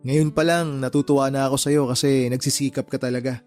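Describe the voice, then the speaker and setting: An actor playing a kind, modest uncle, gently encouraging and advising in a radio drama. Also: male voice, medium pitch, steady tone, fairly quick, faint music behind, natural voice. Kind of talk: Tagalog radio drama dialogue.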